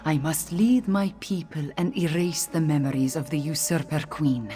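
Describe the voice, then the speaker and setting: An actress voicing a young catfolk queen in a video game, speaking in a measured, earnest and formal way, regal and grateful. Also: sits low, dark tone, faint music behind, lightly acted. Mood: warm, relaxed. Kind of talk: scripted video game voice acting.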